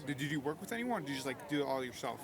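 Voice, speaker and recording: A man's voice: mid-range voice, sing-song, very fast. A young man asking festival interview questions, hesitant and full of filler words. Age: young adult. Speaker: student interviewer. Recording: crowd noise, voice from off-mic.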